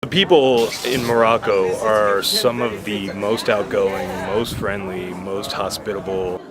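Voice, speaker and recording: A man's voice: on the low side, steady tone, measured pace, over a noisy crowd. A man, an American traveler, talking enthusiastically and chattily about his tour. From United States. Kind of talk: travel testimonial. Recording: outdoor street and traffic noise.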